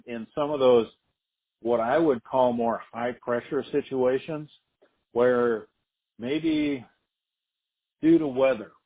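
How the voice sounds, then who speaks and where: A man presenting farm products on a conference call, explaining methodically and repeating key points. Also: mid-range voice. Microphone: telephone. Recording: tinny, narrow phone-line conference call.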